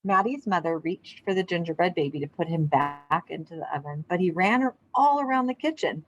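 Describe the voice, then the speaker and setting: A woman speaking as an elementary teacher to young children, in simple, clear wording suited to a lesson or storybook read-aloud. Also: on the low side, animated, a little fast, highs cut off. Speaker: elementary physical education teacher.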